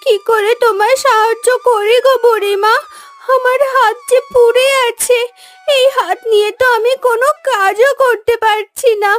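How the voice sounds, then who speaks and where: A woman's voice: very high voice, steady tone, moderate pace, a bit bright, soft background music. A woman voicing a mistreated little village girl in a melodramatic cartoon, plaintive and childlike. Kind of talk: melodramatic cartoon story acting.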